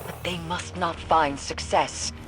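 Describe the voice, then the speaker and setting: An actress playing a grim, haunted woman mystic in a video game, brooding, portentous and deliberate in manner. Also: deep voice, fairly even intonation, over music, poor audio. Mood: grim, urgent. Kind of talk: dramatic video game acting.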